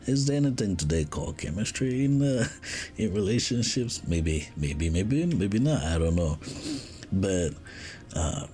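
Deep, slow man. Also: big pitch swings, warm tone, faint music behind. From West Africa. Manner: reflective, unhurried, with frequent pauses and restarts. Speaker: podcast host. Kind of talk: casual podcast monologue.

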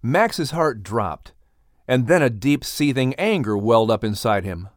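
A man's voice: medium pitch, expressive, unhurried, no echo, studio quality. A man hosting and narrating a scripted animal story as a storyteller. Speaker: host and narrator.

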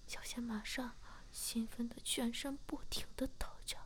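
Female story narrator; hushed whisper, slightly high voice. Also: fairly even intonation, normal speed. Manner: whispering throughout. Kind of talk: whispered audio story reading.

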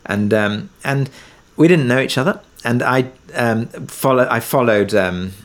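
A man speaking conversationally as an interviewed producer, halting and self-effacing, often rephrasing as he goes. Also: mid-range voice. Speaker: audio drama writer and producer being interviewed.

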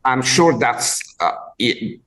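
A man with a Bulgarian accent hosting a podcast interview, talking haltingly with many restarts as he searches for words. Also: mid-range voice, lively intonation, fairly bright tone. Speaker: podcast host, dog trainer. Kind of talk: conversational interview.

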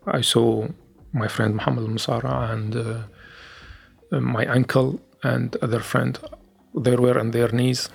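Gravelly man with a Syrian Arabic accent. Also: mid-range voice, little pitch movement. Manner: halting second-language English with restarts. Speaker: interviewee, former activist. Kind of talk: testimony in an interview.

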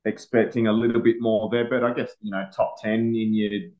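An Australian man speaking as a motorsport editor, relaxed and hesitant with plenty of pauses. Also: fairly low pitch, dull audio. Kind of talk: casual motorsport chat.

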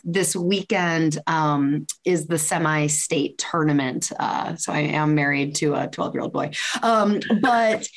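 A woman hosting a podcast in a casual chat, rambling and interrupting herself with laughter. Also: medium pitch. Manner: rambling, self-interrupting, giggly. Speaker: podcast host.

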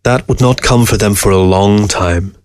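A man narrating a scripted short story in a measured, literary delivery. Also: fairly low pitch, a little mellow, no echo, studio quality. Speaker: story reader.

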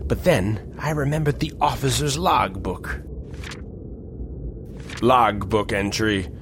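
An actor playing a worried adventurer who narrates his own story in a measured, serious way for an audio drama. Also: mid-range voice, background noise, lightly acted. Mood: worried, reflective.

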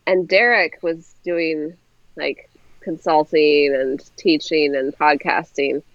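A woman's voice: low voice, steady tone, slow pace, no echo. A woman guest talking through co-writing in a casual interview over a video call, thoughtful and hedging with fillers. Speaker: guest, co-author. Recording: dull dry video-call audio.

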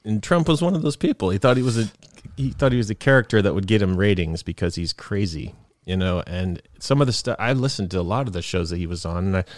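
A man's voice: lowish voice, fast, hardly any pauses, no echo. A man hosting a casual chat podcast, rambling and laughing often, sniffling from a cold. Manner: rambling, laughs often, trails off mid-thought. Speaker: podcast host.

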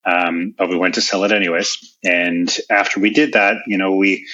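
A man's voice: sits low, fairly even intonation, normal speed, bright, forceful tone, dull audio. A man with a Canadian accent answering interview questions as a company founder, explaining things informally through anecdotes in a conversational way. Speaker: interview guest, software company founder and chief executive.

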